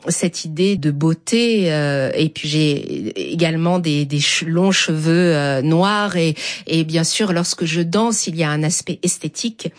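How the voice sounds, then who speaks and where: A middle-aged woman with a Persian accent, a dancer speaking thoughtfully in an interview and reading poetry, often pausing with hesitations. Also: low-pitched. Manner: slow, thoughtful, many hesitations. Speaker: dancer and whirling dervish guest.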